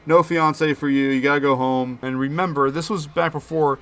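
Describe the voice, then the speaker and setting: A man's voice: fairly high pitch, fairly even intonation, brisk, a bit bright. A man in his thirties from the Philadelphia area, recapping a movie in a chatty, jokey way full of puns. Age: 30s. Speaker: movie commentary host. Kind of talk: casual movie recap commentary.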